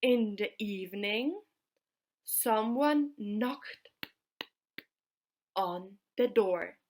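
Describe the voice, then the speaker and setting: A woman telling a fairytale in a halting, deliberate way, pausing between short groups of words. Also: mid-range voice, a little mellow, slight background noise. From Austria. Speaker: storyteller. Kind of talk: slow fairytale storytelling.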